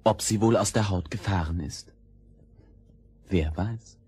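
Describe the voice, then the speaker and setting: A man's voice: sits low, a little slow, loud music behind the voice. A man narrating fairy tales for children as a scripted storyteller.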